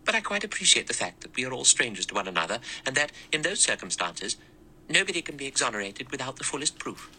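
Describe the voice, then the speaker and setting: A man's voice: very animated, quick pace, pushed and bright, slight background noise, full character voice, low-quality recording. A man doing a cold, prim old spinster. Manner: prim, clipped, raised pitch. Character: elderly stern spinster woman, cold and pious.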